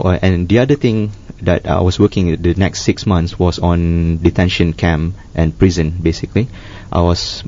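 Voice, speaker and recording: A man speaking as a humanitarian worker guest in a panel discussion, halting and full of restarts. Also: deep voice, dark tone. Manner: halting, many restarts and fillers. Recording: dull lo-fi studio sound.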